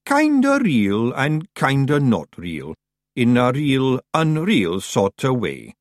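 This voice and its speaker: Excitable, high-pitched Scottish fairy rogue. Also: male voice, slow, dry recording, clean studio sound. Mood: nervous, earnest. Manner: excitable, earnest, dialect-heavy. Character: Daft Wooly, tiny male fairy rogue, eager, nervous, simple.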